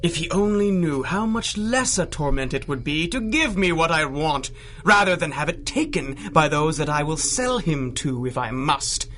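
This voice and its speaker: Radio-play villain: centuries-old sorcerer, highish forceful voice, grandiose, menacing, taunting. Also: male voice, expressive, moderate pace, slight background noise. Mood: brooding. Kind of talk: 1930s pulp radio drama acting.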